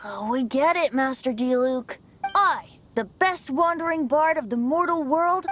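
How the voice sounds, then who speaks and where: A woman's voice: high-pitched, measured pace, a bit bright, soft background music. A woman voicing a playful, boyish wandering bard in a video game, with a theatrical, lilting delivery.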